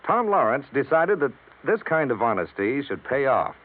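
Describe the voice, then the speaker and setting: A male announcer delivers a scripted public service message in earnest, measured oratory. His intonation moves in lively swings. The old radio recording is narrow and tinny, like a phone line. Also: mid-range voice.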